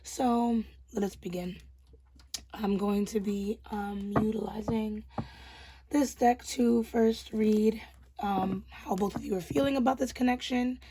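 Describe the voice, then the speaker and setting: A young adult woman with a hoarse, tired voice, giving a gentle, reassuring tarot reading to her viewers with frequent ums. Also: mid-range voice, steady tone, a little slow, low-quality recording. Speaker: tarot reader. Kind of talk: casual tarot reading to camera.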